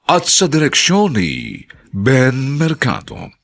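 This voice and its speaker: A man with a Filipino accent narrating a radio drama in a solemn, theatrical, deliberate scripted style. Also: medium pitch, wildly swooping pitch, a bit bright.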